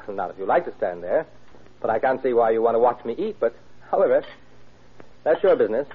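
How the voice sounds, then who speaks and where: An actor playing a practical young doctor, a fond son reassuring his mother in a brisk, offhand way in an old radio drama. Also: medium pitch, animated, slight background noise, natural voice. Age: young adult. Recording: thin, tinny old radio recording.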